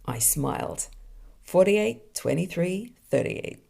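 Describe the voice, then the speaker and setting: A woman voicing a wisecracking male deer detective in a film noir drawl, delivering deadpan puns. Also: fairly low pitch, slow pace, creaky, slightly rough, exaggerated voice. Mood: hardboiled deadpan.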